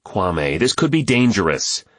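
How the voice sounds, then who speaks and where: A man doing a practical grown man, firm but kind, who talks in a plain, direct way. The voice is deep but moves a lot in pitch, and it sits dry and close to the mic. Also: sing-song, measured pace, no room sound, exaggerated voice. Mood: alarmed.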